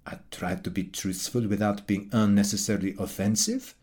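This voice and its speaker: Man acting a soft, polite French author. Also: lowish voice, steady tone, normal speed, close to an everyday voice. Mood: pleased modesty. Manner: polite, modest, formal speech. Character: pleased, witty, polite French male author.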